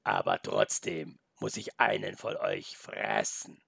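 A man voicing a menacing, greedy male wolf in a storybook reading, growling slowly and deep. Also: sing-song, vocal fry, fairly bright tone, breathy edge, no echo, studio quality, heavily acted. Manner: growling, slow and threatening.

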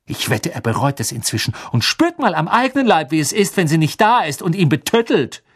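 A bossy, shrill teenage girl in an audiobook, acted out by a man. The voice sits high and swings widely, insistent and argumentative. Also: huge pitch swings, moderate pace, husky, full character voice. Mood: indignant. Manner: emphatic, argumentative.

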